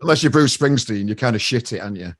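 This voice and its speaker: A man's voice: mid-range voice, expressive, quick pace, no room sound. A man from Sheffield with a northern English accent, interviewing a band in casual chat and often restarting his sentences. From Sheffield, England. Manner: chatty, restarts sentences, says mate. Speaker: interviewer and gig promoter.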